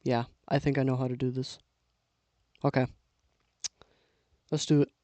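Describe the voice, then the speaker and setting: A young man giving casual, self-talking commentary while building with LEGO, losing his voice and clearing his throat now and then. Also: mid-range voice, measured pace, dry recording. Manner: relaxed, mutters to himself while building.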